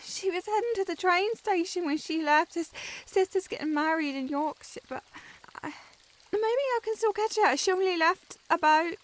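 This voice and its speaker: A woman's voice: extremely high pitch, moderate pace. A woman playing a timid young shop assistant in a historical audio roleplay, nervous, stammering and eager to please. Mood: panicked.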